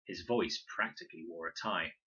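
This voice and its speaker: A man reads a children's novel with deliberate, expressive storytelling. His voice is fairly low and rough-edged, with a bright, forceful delivery, captured in dull sound. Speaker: story reader, teacher. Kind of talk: scripted narration, children's novel read aloud.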